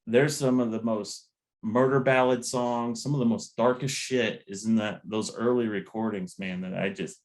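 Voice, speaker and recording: A man hosting a podcast, chatting casually about music in a laid-back, rambling, slangy way. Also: lowish voice. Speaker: podcast host.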